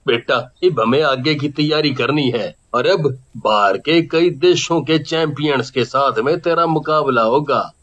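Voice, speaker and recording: Man playing a gruff Haryanvi ghost father, muffled. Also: on the high side, a little slow, few pauses, a bit bright. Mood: firm, protective. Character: ghost father, gruff protective old-school wrestler. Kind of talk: Hindi animated moral horror story acting. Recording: muffled recording with music bed.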